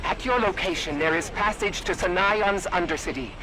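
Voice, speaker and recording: A man playing a dutiful military pilot in a video game, giving a measured, formal briefing. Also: high-pitched, background noise, close to an everyday voice. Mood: businesslike. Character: dutiful military pilot reporting over comms. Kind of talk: cinematic video game acting.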